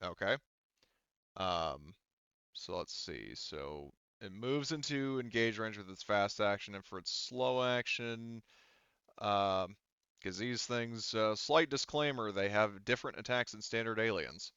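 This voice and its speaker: A man's voice: lowish voice, a little slow, fairly bright tone, clear, resonant tone, no echo. A man acting as game master in casual tabletop play, informally thinking aloud as he works through rules on a slightly dull, dry microphone.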